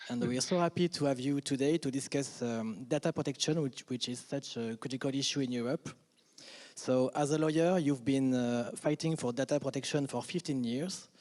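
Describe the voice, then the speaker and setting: A French man speaking English with a French accent, asking interview questions with hesitant, halting phrasing. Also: mid-range voice, a little mellow.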